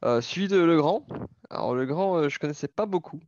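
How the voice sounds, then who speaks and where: A man's voice: on the high side, animated, a little fast, darkish tone, dry recording. A man with a light Belgian French accent co-hosting a casual, informal stock talk with some hesitation. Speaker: podcast co-host discussing stocks. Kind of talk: casual chat. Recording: dull, band-limited audio.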